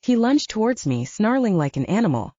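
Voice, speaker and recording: A woman narrating a first-person audiobook in a lively storytelling manner, lightly voicing the dialogue. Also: medium pitch, a little fast, husky, no room sound, highs cut off.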